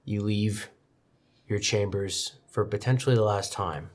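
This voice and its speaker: A woman's voice: very deep voice, measured pace. A woman narrating a tabletop roleplaying game as the game master.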